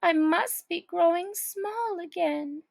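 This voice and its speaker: Little storybook girl, super high voice, slow and dramatic, plaintive and upset. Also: creaky, dry recording, exaggerated voice. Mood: surprised. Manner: exaggerated, plaintive, drawn-out. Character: Alice, distressed young girl, dramatic and tearful. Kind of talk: children's storybook character acting.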